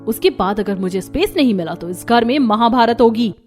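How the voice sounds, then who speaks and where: A woman plays a spoiled young city wife in a cartoon story. Her voice is high and she talks in a haughty, demanding way, sprinkled with English words. Music plays behind her. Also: expressive, brisk, darkish tone, close to an everyday voice. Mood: angry, indignant. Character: spoiled, brash young modern city daughter-in-law. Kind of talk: Hindi cartoon story dialogue.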